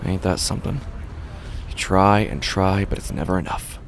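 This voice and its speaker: Guy acting tired busker narrating a horror podcast, low voice, brooding n resigned, bit of background noise. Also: steady tone, moderate pace, lightly acted. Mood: bitter, resigned. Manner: brooding, sighing, understated. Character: weary, resigned male street musician narrating his day. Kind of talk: first-person horror audio drama narration.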